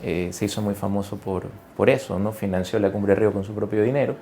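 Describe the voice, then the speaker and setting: A man from Ecuador in his forties, talking through his career in a relaxed interview, with lots of fillers, restarts and tag questions. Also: fairly low pitch, brisk, a little hiss or hum. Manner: conversational, many fillers and self-corrections, tag questions. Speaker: guest executive. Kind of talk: interview conversation.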